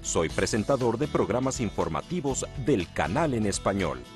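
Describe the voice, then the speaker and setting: A middle-aged man with a Mexican accent, a television news presenter hosting and narrating a travel documentary in a measured, polished broadcast manner. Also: on the low side, big pitch swings. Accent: Mexican Spanish. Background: music.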